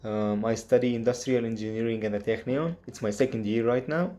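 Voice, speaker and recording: A young man in his twenties, a university student from northern Israel whose first language is Arabic, recording a halting, earnest greeting on a webcam in a small room. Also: medium pitch, dark tone. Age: 20s. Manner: halting, earnest, with fillers and restarts. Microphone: webcam on a video call.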